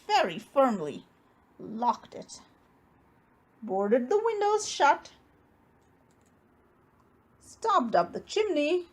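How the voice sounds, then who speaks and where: Female storybook reader: high-pitched, very lively intonation, unhurried, dramatic emphasis for young listeners. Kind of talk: expressive children's read-aloud narration.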